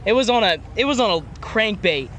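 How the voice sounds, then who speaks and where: A teenage boy competing in a high school fishing tournament, talking casually and a bit hesitantly outdoors at a lake. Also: animated, fairly quick, a bit bright, slight background noise. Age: teens. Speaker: high school angler contestant.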